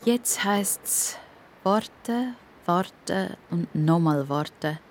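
A woman's voice: fairly low pitch, expressive, drawn-out words. A woman with a Swiss accent narrating a scripted audio story for children.

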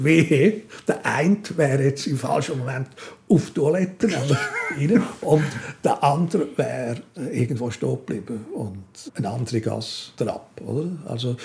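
Gravelly older Swiss German man, musing. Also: mid-range voice, darkish tone. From Switzerland. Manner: philosophical, musing. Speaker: interview guest. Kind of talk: reflective casual conversation.

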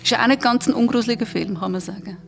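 A woman's voice: fairly high pitch, very fast, creaky, soft background music. A woman chatting casually as a podcast co-host.

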